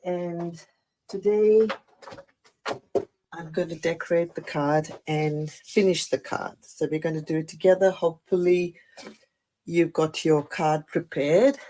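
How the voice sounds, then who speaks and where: A woman with a croaky voice after the flu, chatting through a live card-making tutorial with many fillers and apologies. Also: fairly low pitch, fairly even intonation, a little slow, dark tone, a little hiss or hum, low-quality recording. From Australia. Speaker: craft demonstrator.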